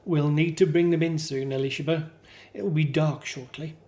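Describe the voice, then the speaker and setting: A man's voice: fairly high pitch, steady tone, moderate pace, a little mellow, lightly acted. A man playing a hopeful Hebrew slave and family man in a scripted radio drama, telling things earnestly. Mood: eager, serious.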